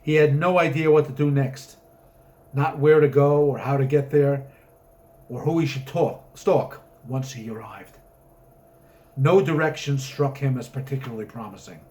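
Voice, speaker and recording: A man's voice: mid-range voice, normal speed, a little mellow. A man reading a story aloud as its author, narrating with theatrical, emphatic comic drama.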